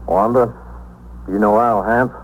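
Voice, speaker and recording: An actor playing a skeptical police detective, clipped and deadpan, in 1950s radio drama style. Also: male voice, fairly low pitch, unhurried, a little hiss or hum. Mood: dry, probing. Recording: tinny, band-limited 1950s radio recording.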